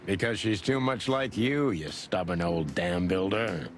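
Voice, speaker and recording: Low-voiced, world-weary rooster detective. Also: male voice, a little slow. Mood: dry, friendly. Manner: dry, hard-boiled, sardonic. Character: world-weary, wry veteran rooster detective, noir narrator. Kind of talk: noir video game acting. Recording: music under the voice.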